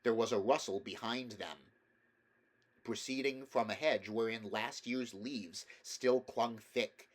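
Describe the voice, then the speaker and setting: Male narrator, medium pitch, reading classic storybook prose aloud with expression. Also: takes it slowly. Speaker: audiobook reader.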